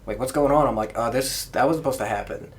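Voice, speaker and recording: A man's voice: mid-range voice, fast. A young man chatting casually as a podcast host, rambling through memories like an eager fan. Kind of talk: casual chat, recounting memories.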